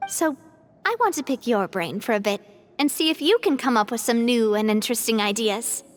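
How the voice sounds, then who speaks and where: A woman's voice: fairly high pitch, expressive, normal speed, faint music behind, lightly acted. An actress playing a confident young woman who leads a guild, decisive and upbeat, in a game cutscene. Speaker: guild leader. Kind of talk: video game cutscene dub.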